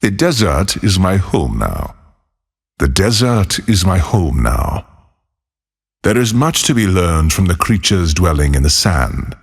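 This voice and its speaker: An actor playing a solitary, haunted former monk turned lawless desert wanderer in a video game, speaking in a solemn, measured, husky voice. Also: male voice, low-pitched, big pitch swings, exaggerated voice. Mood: weary, reflective. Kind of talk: scripted game character voicelines.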